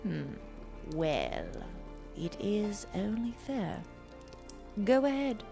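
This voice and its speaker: A woman playing a haughty, condescending councilor of an otherworldly realm in an audio drama, with formal, drawn-out phrasing. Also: mid-range voice, big pitch swings, creaky, music in the background, exaggerated voice. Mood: cool, superior.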